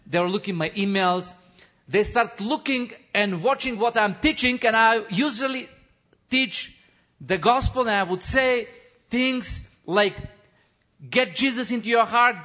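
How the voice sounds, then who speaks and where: A man who is a missionary pastor, preaching an impassioned testimony sermon in non-native English, building to shouts, with frequent restarts. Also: high-pitched. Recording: dull lo-fi recording.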